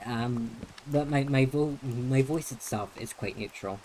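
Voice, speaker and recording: A man's voice: medium pitch, measured pace, dark tone, a little hiss or hum, rough recording. A young man streaming a game, chatting casually in a neutral, feminine-leaning voice, rambling with many restarts and fillers. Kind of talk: casual live-stream chat while playing.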